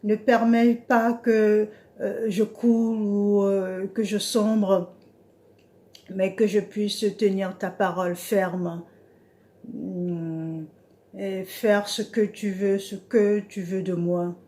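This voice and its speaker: A woman preacher talks to her online followers in a slow, unhurried way. Her voice is mellow and soft, and she pauses often with hesitant fillers as she explains Bible verses. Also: medium pitch, fairly even intonation. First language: an African language she names as her mother tongue. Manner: slow, hesitant speech with frequent "euh" fillers. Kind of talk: informal online devotional talk.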